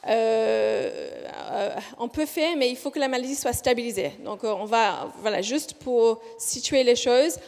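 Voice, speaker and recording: A woman from Australia with an Australian accent, presenting a research study at a conference, speaking slowly with frequent hesitations and self-corrections. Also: a little high, gravelly, darkish tone. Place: large conference hall. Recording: long hall reverb.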